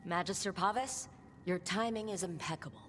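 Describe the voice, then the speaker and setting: A woman's voice: fairly low pitch, moderate pace, fairly bright tone, slight background noise, lightly acted. A woman playing a plain-spoken, lightly wry young rebel adventurer in a fantasy game. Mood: grateful, curious. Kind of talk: natural game dialogue. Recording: roomy reverb, music underneath.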